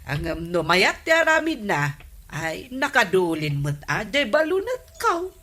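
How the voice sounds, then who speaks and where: A woman's voice: mid-range voice, wildly swooping pitch, measured pace, sharp tone, exaggerated voice. A woman playing an opinionated village gossip in an Ilocano comedy radio drama. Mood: disapproving.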